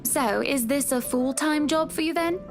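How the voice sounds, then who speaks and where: High-voiced, genteel young woman. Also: moderate pace, music in the background. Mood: concerned, curious. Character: Hannah, wealthy polite young woman, gently teasing and curious. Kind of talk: visual novel voice acting.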